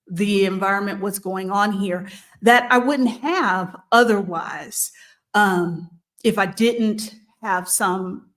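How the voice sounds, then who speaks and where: A woman hosting a channel about moving abroad, talking casually and thoughtfully, with frequent pauses, ums and restarts. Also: mid-range voice. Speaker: host of a move-abroad channel. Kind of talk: casual advice talk. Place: living in Mexico.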